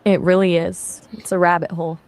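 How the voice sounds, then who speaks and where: A friendly synthetic woman's voice with a slightly low pitch, chatting casually as a conversation partner. She sounds attentive and keeps things moving with quick follow-up questions. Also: flat delivery, a little mellow. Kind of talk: casual chat.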